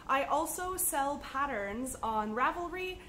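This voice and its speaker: Woman, upbeat chatty host, slightly stuffy nose, higher pitch. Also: lively intonation, slow pace, pushed and bright, slight background noise. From United States. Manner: chatty and upbeat with frequent ums. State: slightly sniffly from a cold. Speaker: podcast host. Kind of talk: casual chat to camera.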